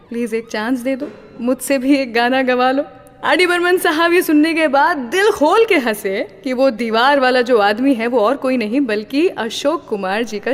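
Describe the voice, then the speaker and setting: A woman's voice: high voice, big-room sound. A woman hosting a radio show, telling a story between songs in a chatty, teasing way. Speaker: radio host.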